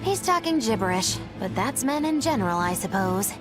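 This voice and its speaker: A woman's voice: on the high side, big pitch swings, normal speed. An actress voicing a breezy, eccentric young woman in a video game, theatrical and teasing, with a wry, droll manner. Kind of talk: English-dub video game acting. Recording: loud music behind.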